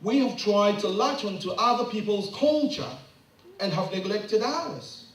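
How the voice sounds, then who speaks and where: A man's voice: sits high, lively intonation, unhurried. Impassioned man in an echoey hall. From Ghana. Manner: rhetorical questions, rising to emphatic peaks. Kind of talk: impassioned public address. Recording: very echoey room, mic from a distance.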